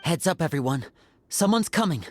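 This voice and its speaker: A woman playing a level-headed young adventuring companion in a video game, calm and deliberate. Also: low-pitched. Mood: alert, questioning. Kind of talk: scripted game dialogue. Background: music.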